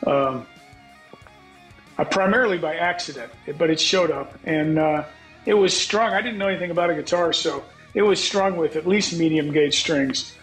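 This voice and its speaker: A middle-aged songwriter from the United States with a scratchy voice, speaking frankly as a guest on a video call. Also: male voice, fairly high pitch, moderate pace, fairly bright tone, soft background music. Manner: frank, reflective, swears casually. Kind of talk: candid interview talk. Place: home room, on a video call. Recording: echoey video call audio.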